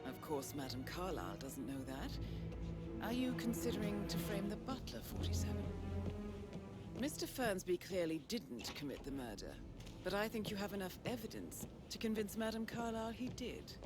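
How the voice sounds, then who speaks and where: Actress as cool, analytical spy handler for a game; mid-range, expressive pitch, dry wit, loud score underneath. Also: female voice, moderate pace, lightly acted. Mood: coolly advising. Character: composed, analytical female handler advising an assassin remotely. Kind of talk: video game voice acting. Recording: loud music behind the voice. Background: loud game music.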